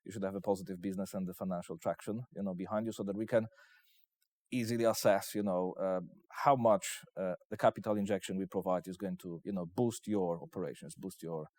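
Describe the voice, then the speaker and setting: A man with a Polish accent giving interview answers as he pitches his investment company, in hesitant, piecemeal phrases. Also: steady tone, a little fast, darkish tone, no echo. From Poland.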